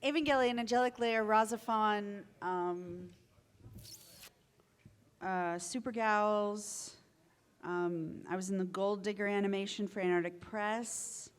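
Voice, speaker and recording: A woman's voice: mid-range voice, fairly even intonation, slow, clear, resonant tone. A woman, a voice actor, chatting casually on a panel into a microphone in a convention room. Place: indoor convention meeting room. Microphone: handheld or table microphone.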